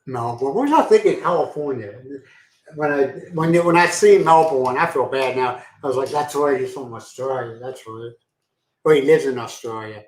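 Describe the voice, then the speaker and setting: A man's voice: medium pitch, normal speed. Rambling American man in an echoey room. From Pennsylvania, USA. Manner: rambling, self-deprecating, laughs easily. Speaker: live-stream host. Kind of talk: casual chat reading viewer comments. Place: home in the Philippines.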